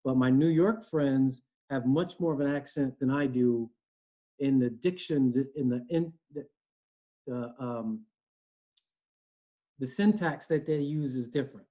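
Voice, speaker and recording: A man's voice: medium pitch, unhurried, long pauses, warm tone. A man in his forties with an East Coast American accent, from Connecticut, talking earnestly as an interview guest on a video call from home, often checking in with "you know" and "right?". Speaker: guest, HR director. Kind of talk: conversational interview. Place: home room, indoors.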